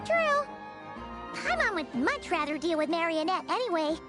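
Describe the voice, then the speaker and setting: A tiny fairy companion from an animated game. The voice is extremely high and childlike, swooping up and down with chatty, perky excitement, over prominent music. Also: female voice, normal speed. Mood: pondering. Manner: perky, chatty, refers to self in third person. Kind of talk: animated video game voice acting.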